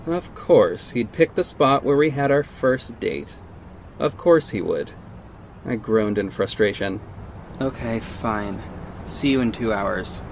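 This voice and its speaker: Man acting sardonic costumed vigilante, audio drama, middle pitch, measured, terse, exasperated, faint background noise. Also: animated. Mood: cold, irritated. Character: guarded, sardonic costumed vigilante, heartbroken and stubborn. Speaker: narrator and lead actor. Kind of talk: first-person audio drama narration and dialogue.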